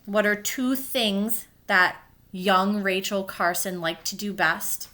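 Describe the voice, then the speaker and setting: A woman teacher reading a children's book aloud and pausing to explain it in simple words for young pupils. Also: medium pitch, a bit bright.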